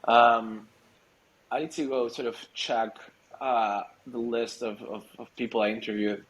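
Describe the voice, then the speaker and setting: Male interview guest from Argentina, native Spanish speaker, mid-pitched voice, relaxed phrasing with hesitant word searching. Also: unhurried, low-quality recording. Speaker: podcast guest, startup growth executive. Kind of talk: casual interview conversation.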